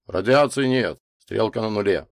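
A man's voice: fairly low pitch, moderate pace, no echo, studio quality, lightly acted. A man voicing a lanky lab assistant in an audiobook story, curt, touchy and matter-of-fact.

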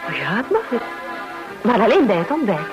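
A woman's voice: mid-range voice, big pitch swings, brisk, over music, close to an everyday voice. An actress playing a polite, sociable English woman in conversational radio play dialogue. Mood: polite interest.

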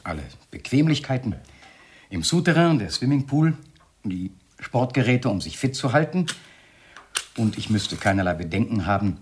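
Menacing doctor, radio play, husky, highly animated pitch, silky mock politeness, jealous, taunting. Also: male voice, moderate pace. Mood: smug, urbane. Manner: silky mock courtesy breaking into outbursts and whispers. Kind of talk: German radio play acting.

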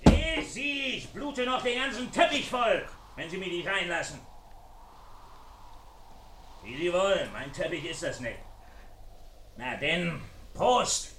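A menacing, wounded intruder in an old radio thriller. The voice is high and sharp, swooping widely as he taunts and sneers. It sounds roomy and set back from the microphone. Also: expressive, slow pace, pauses often, recorded from a distance, slight background noise, full character voice. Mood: mocking menace. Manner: taunting, sneering, falsely familiar. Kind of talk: German radio crime play acting. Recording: vintage radio play, roomy, off-mic, music behind.

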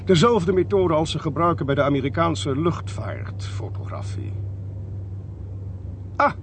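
Wry, theatrical investigator, swooping intonation. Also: male voice, fairly high pitch, big pitch swings, a little slow, slight background noise. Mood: self-assured. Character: smooth, self-assured, demanding male investigator with technical gadgets. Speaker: actor in a radio play. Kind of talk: radio drama dialogue.

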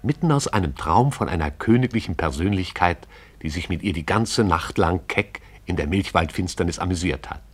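A man giving brisk, vivid scripted narration for a radio play. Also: low-pitched, lively intonation, husky.

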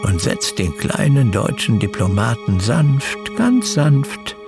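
A man's voice: mid-range voice, huge pitch swings, creaky, full character voice. An actor playing a boastful self-made socialist schemer in a radio play, speaking grandly and savouring his words. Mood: grandiose, dreamy. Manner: grandiose, slow, savouring delivery. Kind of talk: German radio play monologue. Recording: radio drama voice over loud music.

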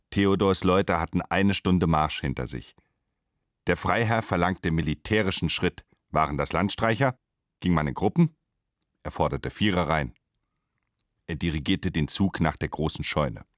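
A man's voice: sits low, unhurried, pauses often, no room sound, clean studio sound. A man reading a scripted literary radio play narration in clipped, terse phrases.

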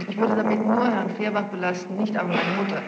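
A woman's voice: medium pitch, steady tone, fast talker, creaky. A young woman giving spontaneous testimony as a witness, explaining herself defensively and insistently. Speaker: witness under questioning. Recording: noisy, muffled archival tape, echoey hall.

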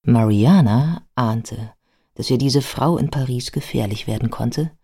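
A woman narrating a radio play from a script in a measured, factual storytelling manner. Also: rumbling low, vocal fry, warm tone, breathy edge.

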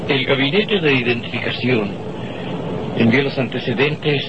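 A formal, sceptical Chilean official acted by a man in a vintage radio play. The voice sits at a middle pitch. Strong noise and a dull, old radio sound cover it. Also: moderate pace, close to an everyday voice. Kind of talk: old radio drama acting. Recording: muffled old radio recording, heavy noise.

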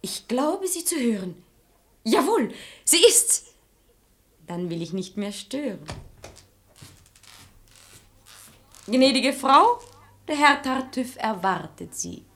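An actress playing a saucy, quick-witted maidservant in a verse radio play, pert and theatrical. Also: female voice, sits high, sing-song, a bit bright, exaggerated voice. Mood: dry courtesy. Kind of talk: German radio play acting in rhymed verse.

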